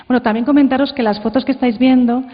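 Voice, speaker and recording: A woman's voice: high voice, steady tone, fast talker. Woman presenting in a reverberant hall. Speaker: conference presenter. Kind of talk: informal talk presenting audio clips. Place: conference hall. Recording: echoey hall, muffled sound.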